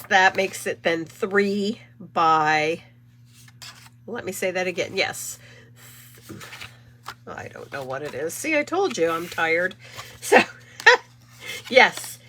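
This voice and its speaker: A woman's voice: lowish voice, fairly bright tone. A middle-aged woman hosting a casual live card-making demo, chatty and rambling, stuffy from a cold. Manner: chatty, rambling, self-correcting. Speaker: card-making channel host.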